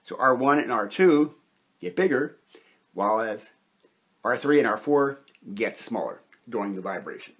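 Husky-voiced man explaining step by step. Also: mid-range voice, very animated, a bit bright. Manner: methodical, deliberate, with short pauses between phrases. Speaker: chemistry lecturer, presenter. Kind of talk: step-by-step instructional explanation at a whiteboard.

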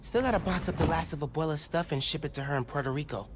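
A man's voice: fairly high pitch, fast, background noise, natural voice. A man playing an earnest, upbeat teenage boy superhero with an American accent, thinking aloud in a casual, youthful way in a video game. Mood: casual musing. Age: teens. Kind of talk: video game character acting, casual self-talk.

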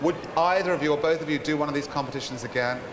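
A man's voice: a little high, rapid-fire. A British man hosting a game show, chatting with contestants in a teasing, upbeat way. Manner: teasing, chirpy, laughs often. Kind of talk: upbeat presenter chat with contestants. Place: large indoor shopping centre studio set. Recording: noisy crowded venue sound.

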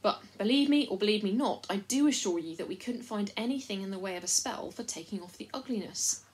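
A woman's voice: medium pitch, lively intonation, brisk, a little mellow, lightly acted, poor audio. A woman voicing a self-important invisible male chief of servants in a storybook, folksy, repetitive and rambling. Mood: rambling, confiding. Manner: folksy, repetitive, long-winded.

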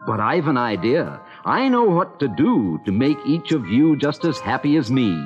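A man voicing a kind, cheerful young male reindeer in a storybook, speaking in gentle rhymes. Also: mid-range voice, sing-song, normal speed, big-room sound, close to an everyday voice. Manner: gentle rhyming storybook delivery. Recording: dull lo-fi record with music.

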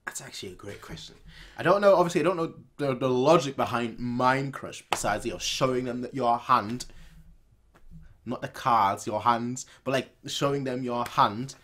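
A man in his twenties giving casual reaction commentary, haltingly repeating words as he thinks aloud. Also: medium pitch, a bit bright, slight background noise. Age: 20s. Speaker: reaction video host. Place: small room at home, indoors.

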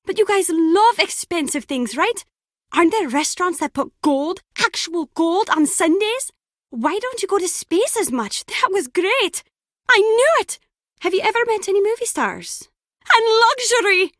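A cheeky, nosy young woman in a video game. Her voice is high and swoops around as she teases and gushes. The sound is dry and close. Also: expressive, moderate pace, a bit bright, dry recording. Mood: excited, gushing. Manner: playful, teasing, gushing. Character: chatty, cheeky, excitable young woman, nosy would-be friend.

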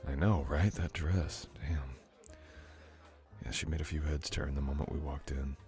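Very deep gravelly-voiced flirty man. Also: steady tone, measured pace, faint music behind.

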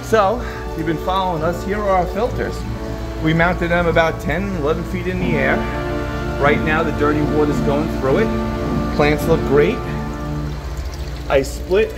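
A man's voice: fairly high pitch, moderate pace, pauses often, loud music behind the voice, poor audio. A man giving a casual, enthusiastic walkthrough of the water treatment room at his laundromat, filmed on a handheld phone. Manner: informal, enthusiastic, rambling explanations. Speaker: laundromat owner giving a tour. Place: indoor water treatment room with pumps and tanks. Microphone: handheld phone camera.

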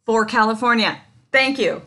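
A woman's voice: fairly high pitch, expressive, moderate pace, bright, forceful tone. A woman in her forties reading a prepared campaign speech with dry jokes, recorded on a webcam in a home office. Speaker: party treasurer candidate. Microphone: webcam at desk.

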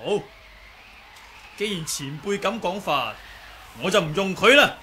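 Radio drama lead: earnest young swordplay hero, formal and courteous, lively highish voice, Hong Kong Cantonese. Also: male voice, a little slow, exaggerated voice. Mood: polite. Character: courteous, composed young male martial arts hero. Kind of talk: 1980s radio drama acting. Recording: noisy old 1980s radio recording.

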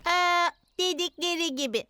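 A woman's voice: extremely high pitch, normal speed, pushed and bright, dry recording. A woman playing a bouncy, confident little cartoon girl, childlike and perky, who loves to perform. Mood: cheerful. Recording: prominent music behind voice.

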